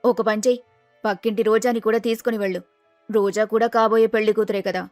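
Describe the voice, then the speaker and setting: A practical, fussing middle-aged mother acted by a woman in a storybook tale. She speaks in a fairly high voice and gives motherly instructions, dry and close over a music bed. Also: little pitch movement, quick pace, darkish tone, dry recording, lightly acted. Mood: agreeable, cautioning.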